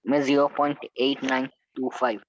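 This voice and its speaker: A male student gives answers in a lesson. His pitch is average, and the sound is dry and a bit muffled. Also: level tone, normal speed, no room sound. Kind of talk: answering a teacher in class.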